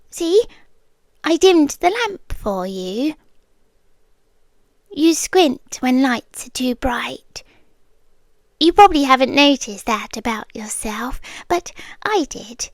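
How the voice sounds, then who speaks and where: A young British woman voicing an obsessive, doting girlfriend in an ASMR roleplay, speaking softly and sliding into close whispers. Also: sits high, no room sound, heavily acted. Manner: soft-spoken, drifting into whispers, gently unsettling.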